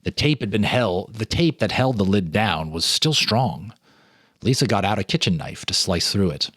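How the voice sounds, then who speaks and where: A man's voice: fairly low pitch, lively intonation, normal speed, a bit bright, slightly rough, no echo, clean studio sound. A man reading a ghost story aloud as a storyteller, with a theatrical, suspenseful delivery. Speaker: storyteller, host.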